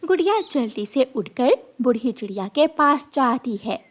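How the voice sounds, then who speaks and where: A woman's voice: high voice, sing-song, normal speed. A woman storyteller reading scripted narration for a children's cartoon story.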